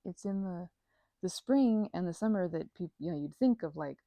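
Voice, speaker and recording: A woman's voice: on the low side, animated, moderate pace, creaky, mellow, no room sound. A woman in a casual ASMR roleplay, chatting informally as a best friend and often interrupting herself mid-thought. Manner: rambling, self-interrupting, informal and conversational.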